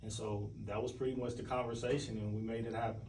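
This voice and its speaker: A man with a soft-edged, mellow tone gives an earnest, informal interview. He speaks reflectively, in a conversational style. The room is clearly echoey, with faint noise behind him. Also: medium pitch, flat delivery, fast. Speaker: interview guest. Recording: roomy, distant mic, faint background noise.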